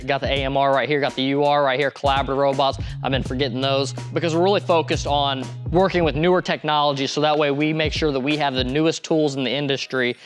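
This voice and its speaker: A man hosting a casual podcast, his voice sitting at an ordinary middle pitch. He talks loosely, with plenty of restarts and filler, and faint music runs underneath. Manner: loose and rambling, many restarts and "like"s. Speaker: podcast host.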